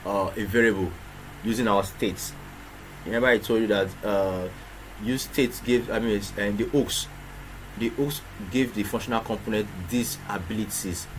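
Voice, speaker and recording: Low-voiced man teaching coding step by step. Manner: explains step by step with many restarts and rhetorical questions. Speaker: programming instructor. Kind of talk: screen-recorded coding tutorial. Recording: clear background noise with keyboard typing.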